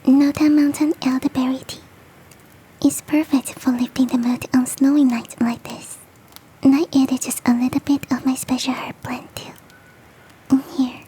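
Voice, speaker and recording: A woman voicing a big fluffy motherly yeti in an ASMR roleplay, cooing gently and teasingly in a soft, breathy whisper. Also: high-pitched, slight background noise, rough recording. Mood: cheerful, doting. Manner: cooing, nurturing, slow and teasing.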